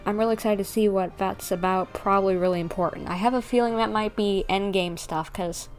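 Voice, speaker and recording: A woman's voice: medium pitch, steady tone, fairly quick, soft background music. A woman livestreaming a video game, chatting casually and thinking aloud in a rambling, informal way.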